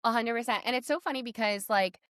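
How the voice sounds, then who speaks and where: A woman's voice: fairly high pitch, fast talker, fairly bright tone, no echo, clean studio sound. A woman hosting a casual podcast chat, talking in a chatty, emphatic way and often saying "like". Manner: chatty, emphatic, peppers talk with "like". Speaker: podcast host.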